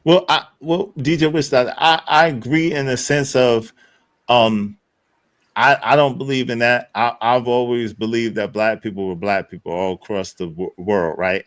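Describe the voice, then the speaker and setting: A man hosting a casual online talk stream, his voice sitting low and recorded dry and close. He talks haltingly, full of fillers, then jumps up in pitch to stress a point. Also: no room sound. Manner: hesitant, many fillers, quick rising emphasis. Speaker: host.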